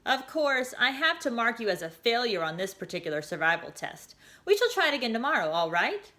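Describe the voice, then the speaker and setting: A woman voicing a kind, graceful robotic caretaker who speaks in a gentle, old-movie style. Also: fairly high pitch, big pitch swings, brisk, pitched above the performer's own voice.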